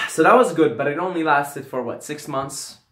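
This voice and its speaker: A man's voice: a little high, moderate pace, fairly bright tone, echoey room. A young man from Syria telling a casual personal story, rambling and often adding "right?" and "you know". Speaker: vlogger.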